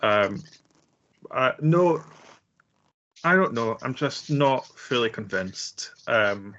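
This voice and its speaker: A man co-hosting a casual music review chat, speaking in a measured way with frequent hedging. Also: medium pitch, animated, fairly bright tone, highs cut off. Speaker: co-host reviewing songs.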